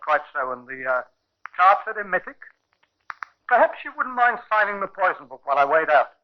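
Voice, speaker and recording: Obliging Victorian shopkeeper, high pitch, courteous, precise, muffled old radio play. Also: male voice, sing-song, normal speed. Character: polite, fussy, obliging male Victorian chemist. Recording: muffled 1950s radio recording.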